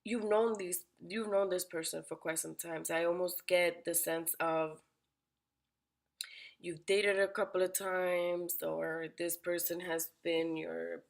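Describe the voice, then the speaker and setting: Woman tarot reader, a bit sick, gravelly and slightly low, direct and probing. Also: flat delivery, slow pace. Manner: direct, questioning, addresses the listener by sign. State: under the weather, raspy-sounding voice. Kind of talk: weekly horoscope tarot reading.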